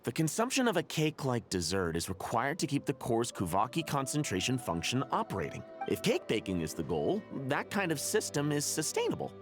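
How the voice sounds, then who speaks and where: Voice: medium pitch, wildly swooping pitch, brisk, few pauses, music in the background. A performer voicing a nervous engineer underling in a game, who stammers and hesitates while explaining technical problems. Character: timid nervous engineer underling, anxious and unsure. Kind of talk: game dialogue voice acting.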